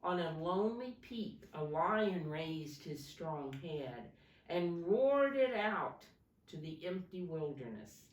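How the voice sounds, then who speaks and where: Female preschool story reader, low register, deliberate teacherly delivery, recorded in a roomy space. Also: big pitch swings. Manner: slow, deliberate, pausing to ask young listeners questions. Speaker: teacher reading a picture book to preschoolers. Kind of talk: expressive children's storybook read-aloud. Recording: roomy echo, distant microphone.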